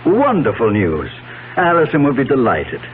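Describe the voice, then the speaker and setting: A man's voice: medium pitch, wildly swooping pitch, moderate pace, narrow, tinny audio. An actor playing an approving senior businessman in a 1970s radio drama, hearty and formal. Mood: delighted. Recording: thin, noisy vintage radio.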